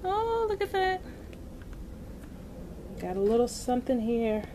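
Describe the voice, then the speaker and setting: A woman hosting a kids' toy video, narrating as she plays with modeling clay in a slow, gentle, playful way with soft asides. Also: a little high, very animated. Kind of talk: hands-on toy play narration for kids. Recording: background noise.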